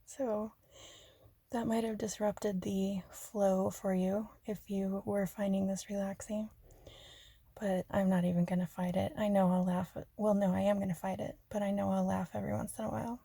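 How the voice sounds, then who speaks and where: A woman from Arizona chatting softly and rambling in a half-whispered ASMR style, joking about herself. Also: mid-range voice, flat delivery, moderate pace, creaky, husky, dry recording. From Arizona, USA. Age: adult. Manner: soft, half-whispered, self-deprecating, giggly. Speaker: ASMR creator. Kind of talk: soft-spoken rambling ASMR chat.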